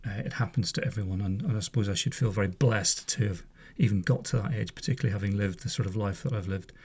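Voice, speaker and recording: Man, 50s, from Northern Ireland, podcast host, wry chatty monologue, gravelly low voice. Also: fast, darkish tone. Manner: chatty, wry, wanders off on asides. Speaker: podcast host, former police officer. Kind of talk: casual spoken monologue. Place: home room.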